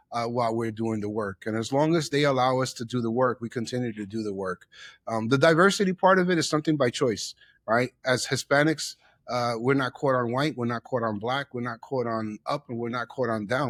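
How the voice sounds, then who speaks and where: A man's voice: medium pitch, a little fast, fairly bright tone, no echo, clean studio sound. Middle-aged man telling his story, emphatic. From the Bronx, New York. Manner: emphatic, repeats phrases for effect. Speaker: interview guest, chef and theater owner. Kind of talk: personal storytelling in an interview.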